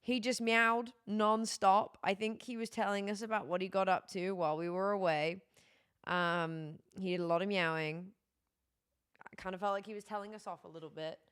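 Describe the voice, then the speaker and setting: A tired young woman streaming, chatting casually with her chat, her voice going hoarse. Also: medium pitch, fairly even intonation, moderate pace, fairly bright tone. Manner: rambling, chatty, many fillers.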